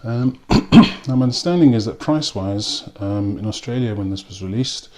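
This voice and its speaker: A man from South Africa with a South African accent, reviewing a rum in a relaxed way with frequent pauses and ums. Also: fairly low pitch, a crowd in the background. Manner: unhurried, with frequent ums and restarts. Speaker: spirits reviewer. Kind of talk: casual spoken tasting review.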